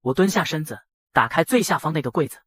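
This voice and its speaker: Husky guy doing dramatic story narration, dry booth sound. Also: fairly high pitch, animated, fast, a bit bright, dry recording. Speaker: narrator and voice of the male lead. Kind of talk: dramatized first-person audio drama narration.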